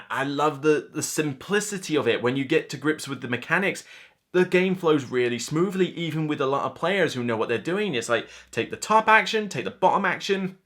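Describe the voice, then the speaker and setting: A man's voice: medium pitch, a bit bright. A man in his thirties giving an enthusiastic, informal and emphatic board game countdown review to camera, speaking indoors. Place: indoor room lined with game shelves.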